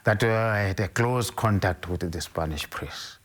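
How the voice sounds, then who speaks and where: An older Zimbabwean man with a very low, deep voice. He speaks slowly and carefully in an interview, often pausing and restarting his sentences as he recalls his past. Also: a bit bright. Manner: measured, hesitant, with frequent restarts. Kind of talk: interview, recounting his life.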